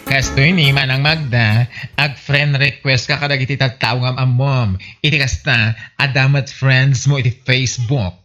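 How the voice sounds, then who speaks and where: A man's voice: medium pitch, fairly even intonation, unhurried, a bit bright, natural voice. A man playing an exasperated, sarcastic, nagging husband in an Ilocano radio comedy, grumbling and scolding in an Ilocano Filipino accent. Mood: explaining patiently. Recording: muffled lo-fi, faint music behind.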